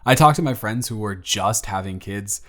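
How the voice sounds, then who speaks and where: A young man playing a grateful single father who talks softly and teases gently in a romantic audio story. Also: fairly low pitch, normal speed, close to an everyday voice. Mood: tender, playful. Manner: soft-spoken, gently teasing. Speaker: audio drama performer.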